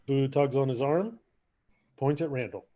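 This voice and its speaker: A man's voice: mid-range voice, a little slow, warm tone. A man narrating stage directions in a casual scripted read-through.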